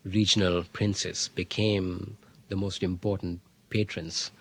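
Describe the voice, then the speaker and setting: A middle-aged man, a history professor telling an anecdote in an interview, thoughtful, with many fillers and pauses. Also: low voice, flat delivery, husky. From India. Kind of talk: interview, reflective storytelling.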